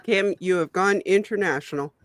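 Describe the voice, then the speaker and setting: A man's voice: sits high, normal speed, fairly bright tone. A man with a Canadian accent, an easygoing guest in a casual chat over a video call.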